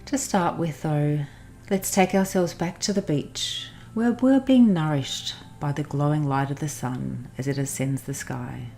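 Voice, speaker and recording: Slow, low-voiced woman guiding a meditation. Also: vocal fry. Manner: soothing, unhurried instructional delivery with pauses. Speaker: guided meditation host. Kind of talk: guided meditation narration. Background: soft background music.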